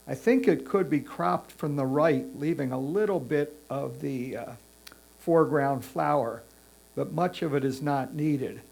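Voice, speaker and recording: Gravelly-voiced man giving tactful critique. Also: on the high side, a little slow, a little mellow. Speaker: photo competition judge. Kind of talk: spoken image critique.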